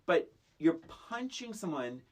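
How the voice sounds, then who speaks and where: Animated, blunt podcast co-host. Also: medium pitch, unhurried. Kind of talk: casual movie discussion.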